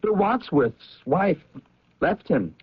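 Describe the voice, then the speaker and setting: An actor playing a troubled, hot-tempered man in an old radio drama, halting and repeating himself with nervous chuckles. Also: high voice, sing-song, heavily acted. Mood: brooding, uneasy. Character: troubled, hot-tempered man confessing bitterly over brandy. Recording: dull, husky radio drama recording.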